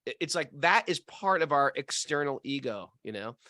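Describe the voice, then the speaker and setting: A man's voice: mid-range voice, lively intonation, normal speed, sharp tone, dry recording. Musing man in a dry room. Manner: musing, thinks aloud with restarts. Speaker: podcast co-host. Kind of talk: casual spiritual discussion. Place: home area in New England.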